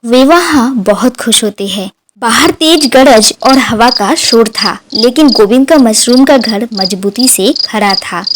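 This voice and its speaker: A woman's voice: on the high side, lively intonation, a little slow, hardly any pauses, background noise. A woman narrator reading a scripted moral story for a cartoon.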